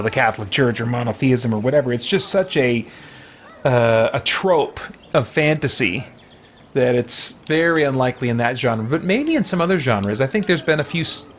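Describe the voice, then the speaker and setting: Male streamer, mid-range voice, casual and reflective gameplay chat, pausing to think aloud, music underneath. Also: mid-range voice. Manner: musing, halting, thinking aloud. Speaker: game streamer, host.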